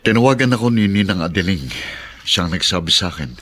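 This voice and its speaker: Low, grave elder brother. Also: male voice, animated, brisk, a bit bright. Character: serious adult elder brother breaking grave family news. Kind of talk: Filipino radio drama acting.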